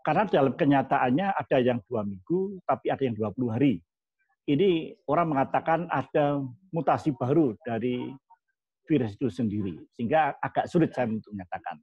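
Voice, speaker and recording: A man explaining things in a measured way as a guest speaker at an online forum. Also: mid-range voice, breathy edge, no echo. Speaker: guest speaker, disaster-response organization leader. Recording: dull, lo-fi online meeting audio.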